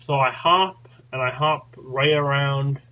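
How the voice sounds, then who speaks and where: A man's voice: medium pitch, steady tone, takes it slowly, dull audio. A man hosting his own channel, telling a long story in a rambling, casual way, full of fillers and restarts. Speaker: channel host. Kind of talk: casual spoken recounting.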